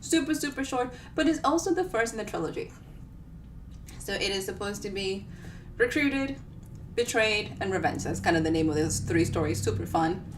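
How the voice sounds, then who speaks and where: A woman's voice: mid-range voice, lively intonation, normal speed. A woman author hosting a casual live book reading, chatting to viewers in a playful, self-deprecating way. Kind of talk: casual livestream chat to viewers. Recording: distant mic with clear background noise.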